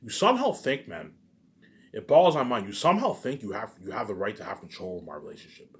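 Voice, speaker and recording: Fast-talking, boastful low-voiced man. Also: very animated. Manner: repetitive, keeps adding "obviously"; profane and boastful. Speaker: self-published writer vlogger. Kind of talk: rambling solo rant to viewers.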